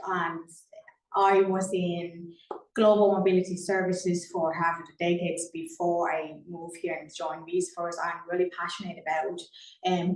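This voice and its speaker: A woman's voice: on the low side, a little mellow. A woman from Thailand, speaking conversationally as the co-host of a webinar on her professional field. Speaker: immigration and mobility consultant co-hosting a webinar. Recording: roomy echo, distant microphone.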